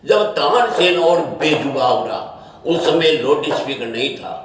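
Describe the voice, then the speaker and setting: A man giving an unscripted opinion monologue in halting, short phrases, often repeating himself. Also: fairly high pitch, animated. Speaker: social media speaker giving his opinion. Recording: echoey, distant mic, faint noise.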